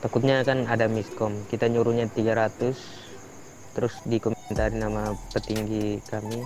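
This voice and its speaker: Man playing a low, flat-toned, deferential underling. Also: normal speed, close to an everyday voice. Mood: deferential, inquiring. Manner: deferential, questioning. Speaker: family member in online roleplay. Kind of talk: improvised game roleplay meeting. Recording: music playing behind the voice.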